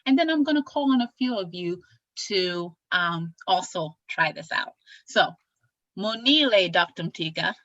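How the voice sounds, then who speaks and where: Brief: young woman host on a video call, bright forceful voice, dry slightly lo-fi sound, upbeat and animated. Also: on the low side, unhurried, dry recording. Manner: upbeat, animated, teaching greetings. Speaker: executive director and event host. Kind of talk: enthusiastic online presentation. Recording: slightly dull lo-fi call audio.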